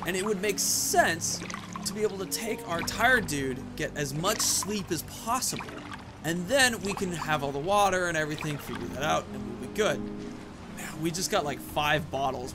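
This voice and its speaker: A man's voice: fairly high pitch, expressive, moderate pace, music in the background. A man giving casual let's play commentary as a gaming host, rambling and thinking aloud.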